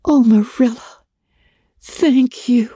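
Eager, gushing, high-voiced schoolgirl. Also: slow, mellow, breathy edge, dry recording, clean studio sound. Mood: grateful, earnest. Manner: gushing, dramatic, effusive. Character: eager, talkative, earnest orphan schoolgirl. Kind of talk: dramatic audiobook reading of a classic novel.

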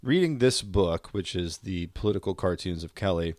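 A man's voice: low voice, expressive, moderate pace, a little mellow, dry recording. A man co-hosting a podcast in a casual, comedic, rambling and wry way. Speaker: podcast co-host.

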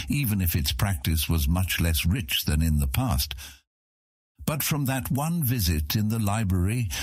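A man narrating a fantasy audiobook in an expressive, scripted storytelling style. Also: low voice, husky, dry recording.

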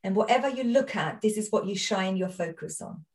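A woman's voice: mid-range voice, lively intonation, fairly quick, darkish tone, distant mic. A woman from Austria with an Austrian accent, a podcast guest talking earnestly in casual chat. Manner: earnest, storytelling.

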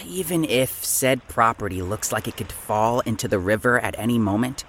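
An actor playing a sly, sardonic amateur investigator who feigns innocence in an audio drama. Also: male voice, medium pitch, moderate pace, a bit bright, a little hiss or hum, lightly acted. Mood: wry. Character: sly, cheeky male amateur investigator needling authority.

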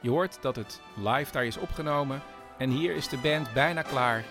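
A man's voice: mid-range voice, moderate pace, a bit bright, faint music behind. A man hosting a storytelling show, narrating in a personal way.

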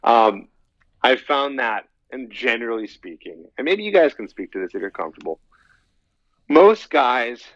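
A man's voice: medium pitch, very animated, moderate pace, fairly bright tone, no room sound. A man in his thirties, a podcast guest talking casually and at length, often repeating his phrases as he rambles. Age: 30s. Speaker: podcast guest, dating coach. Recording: dull, slightly lo-fi, dry sound.

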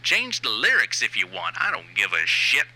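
A man's voice: lowish voice, wildly swooping pitch, moderate pace, bright, forceful tone, exaggerated voice, poor audio. A man playing a cynical, money-hungry aging new wave frontman in a satirical monologue, ranting in a sardonic, profane, emphatic way. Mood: flippant, cynical.

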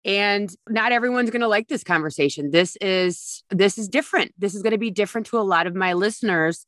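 A woman's voice: mid-range voice, a little fast, a bit bright, dry recording, studio quality. A woman hosting a podcast, talking in an effusive, gushing way to her guest and listeners. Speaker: podcast host. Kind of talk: casual podcast chat and ad read.

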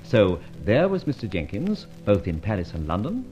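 An actor playing a debonair, breezy gentleman adventurer in an old radio serial, with brisk, clipped, theatrical speech. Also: lowish voice, huge pitch swings. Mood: wry, self-satisfied. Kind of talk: old radio serial acting and first-person narration. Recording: dull vintage radio recording, music behind.

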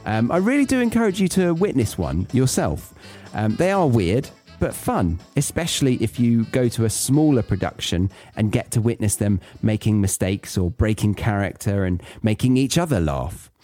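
Deep, mellow British man, lively intonation. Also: moderate pace, faint music behind. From Britain. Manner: chatty, explanatory. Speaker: podcast host teaching English learners. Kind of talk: casual solo podcast talk.

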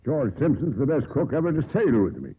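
An actor playing a gruff, formal middle-aged sea captain in a 1950s radio drama. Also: male voice, mid-range voice, sing-song, brisk, lightly acted. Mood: stern. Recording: muffled, hissy 1950s radio broadcast.

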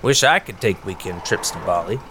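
Folksy, needling wildlife officer, lively pitch. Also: male voice, moderate pace, a little hiss or hum. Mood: probing, folksy. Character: Carl, nosy small-town fish and wildlife officer. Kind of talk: naturalistic audio drama dialogue.

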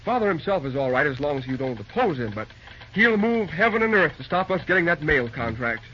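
An actor playing a brisk, self-assured young railroad assistant in a 1930s radio drama. The recording is a dull old radio transcription with faint hiss. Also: male voice, on the high side, big pitch swings. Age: young adult. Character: ambitious young male railroad assistant, cocky.

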